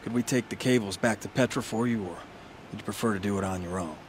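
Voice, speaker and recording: An actor playing an eager young forge worker in love in a video game, earnest and suggestive. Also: male voice, lowish voice, fast, slight background noise, natural voice. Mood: polite, grateful. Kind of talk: video game dialogue acting.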